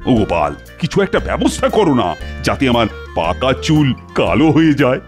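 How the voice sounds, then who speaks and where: A man's voice: mid-range voice, sing-song, a little fast, faint music behind, lightly acted. A man playing a vain, anxious middle-aged king in a comedy cartoon, dramatic, fussy and commanding in manner. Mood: pleading, insistent. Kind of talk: Bengali comedy cartoon voice acting.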